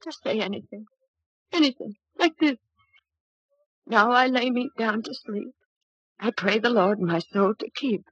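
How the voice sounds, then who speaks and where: A frightened young woman in an old radio play, her voice high and urgent. She pleads with raw emotion. The sound is dry and dulled, like a vintage broadcast recording. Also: measured pace, pauses often, breathy edge, no echo, lo-fi sound. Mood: hushed, uneasy. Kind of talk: old-time radio drama acting.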